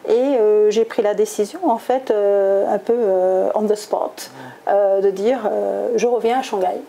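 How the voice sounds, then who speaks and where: A woman's voice: mid-range voice, steady tone, measured pace, creaky, mellow. A middle-aged woman from southwest France answering interview questions conversationally, with many hesitations and self-corrections. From Toulouse, southwest France. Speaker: interviewee, executive recruiter.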